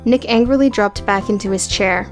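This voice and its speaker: A woman's voice: medium pitch, normal speed, faint music behind. A woman reading scripted, dramatic audiobook narration.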